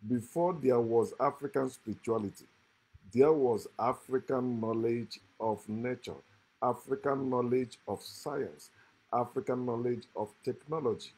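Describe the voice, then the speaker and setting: A middle-aged man with a husky, slightly breathy voice at a middle pitch. He lectures to a phone camera held close, stressing and repeating phrases like a preacher. The room is indoors and the sound is close up. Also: warm tone, low-quality recording. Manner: preachy, repetitive, emphatic. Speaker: host.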